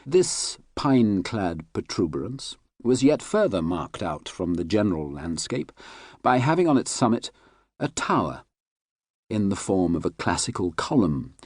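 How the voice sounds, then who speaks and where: Male narrator, low register, very dry close sound, measured literary delivery. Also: a little mellow, no room sound. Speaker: audiobook narrator.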